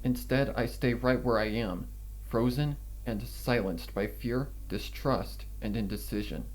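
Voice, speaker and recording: A man's voice: mid-range voice, measured pace, low-quality recording. Brooding male story narrator over music. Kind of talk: dramatic first-person fan fiction narration. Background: guitar music.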